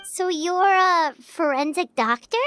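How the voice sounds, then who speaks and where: A woman voicing a tiny, excitable female floating companion in a game, eager and quick to exclaim. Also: very high-pitched, exaggerated voice. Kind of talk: game cutscene voice acting. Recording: game audio with background music.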